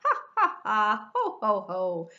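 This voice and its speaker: A woman's voice: medium pitch, huge pitch swings, takes it slowly, bright, forceful tone, roomy sound, lo-fi sound, heavily acted. A woman voicing a playful, lazy young pig from a children's storybook, gleeful and mocking in exclamations. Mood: laughing.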